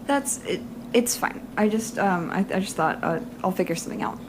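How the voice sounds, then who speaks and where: An actress playing a disappointed young student in an audio drama, speaking haltingly. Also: female voice, mid-range voice, background noise, lightly acted. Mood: crestfallen.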